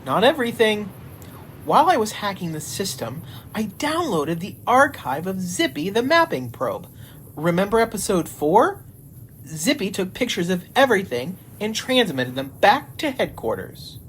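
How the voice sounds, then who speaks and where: A woman's voice: mid-range voice, sing-song, normal speed, slight background noise, close to an everyday voice. A woman playing an earnest, statistics-minded hacker on a spaceship crew in a sci-fi comedy audio drama. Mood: hopeful.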